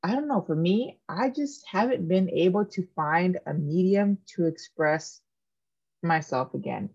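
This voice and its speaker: Frank, self-mocking artist guest, 30s woman, lowish voice, a bit muffled. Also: animated, unhurried, a little mellow, husky. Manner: candid, self-mocking, jokey. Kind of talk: casual interview chat.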